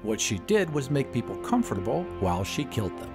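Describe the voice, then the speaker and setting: A man's voice: mid-range voice, sing-song, a little fast, music in the background. A man narrating a scripted story in a dramatic audio-drama style.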